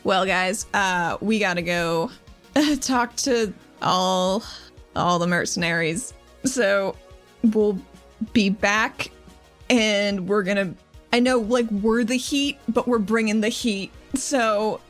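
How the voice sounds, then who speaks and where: A woman's voice: medium pitch, takes it slowly, soft background music, close to an everyday voice. A woman voicing a plucky, affectionate female leader in a tabletop game, informal and encouraging. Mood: rallying.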